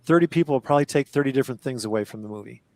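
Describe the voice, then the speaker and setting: A man's voice: mid-range voice, very fast, a little mellow. An older man, an actor guest on a casual podcast, rambling through memories with frequent restarts and laughter, speaking over a remote call. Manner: rambling, self-correcting, laughs often.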